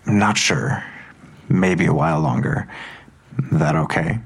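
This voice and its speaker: Man as weary detective father, low pitch, raspy, subdued, halting, audio drama. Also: heavily acted. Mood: weary, guarded. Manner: hesitant, subdued. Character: weary male police detective, a father nearing retirement.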